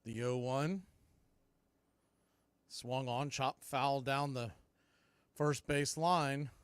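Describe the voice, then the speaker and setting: A man's voice: mid-range voice, fairly even intonation, extremely slow, clean tone, dry recording. A man calling live baseball play-by-play and mixing in trivia, with clipped calls that trail off at phrase ends.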